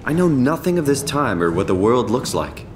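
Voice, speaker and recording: A man's voice: mid-range voice, sing-song, normal speed, natural voice. An actor playing a weary, wry everyman stranded in an undersea facility, in a subdued game performance. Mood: musing, wry. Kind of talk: video game voice acting. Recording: echoey, noisy machinery ambience.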